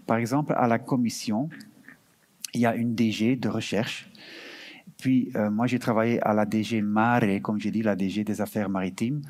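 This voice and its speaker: Low-voiced man answering questions, halting. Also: fairly even intonation, darkish tone. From Belgium. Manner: hesitant, many fillers and restarts, slips into English terms. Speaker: EU recruitment office representative. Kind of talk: answering questions at an info session.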